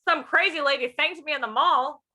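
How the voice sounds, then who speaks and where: A woman's voice: high voice, fairly quick, bright, forceful tone. A woman co-hosting a podcast, talking casually with playful, self-teasing banter. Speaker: podcast co-host.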